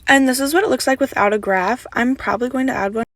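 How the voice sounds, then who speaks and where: A young woman talks through a video editing tutorial in a casual, friendly voice, slightly raspy from just waking up. She explains each step plainly, often wrapping up with "so yeah". Her voice is close to the mic and completely dry. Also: sits high, fast, no echo. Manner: relaxed, chatty step-by-step instructions with "so yeah" fillers. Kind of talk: casual screen-recorded tutorial voice-over.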